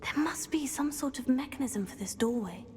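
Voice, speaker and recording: A woman's voice: high-pitched, expressive, moderate pace, noisy background. A young woman playing a brave, curious teenage witch in a game, composed and inquisitive. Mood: focused. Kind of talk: scripted game dialogue.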